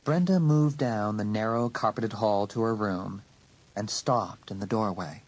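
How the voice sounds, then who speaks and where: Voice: medium pitch, a little slow, poor audio. A narrator reading a scripted, dramatic story for an audiobook.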